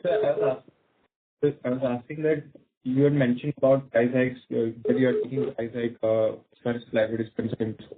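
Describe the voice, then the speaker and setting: A man's voice: medium pitch, level tone, normal speed, slightly rough, phone-quality sound. A man with an Indian accent, politely and deferentially asking formal questions as an analyst on an earnings call.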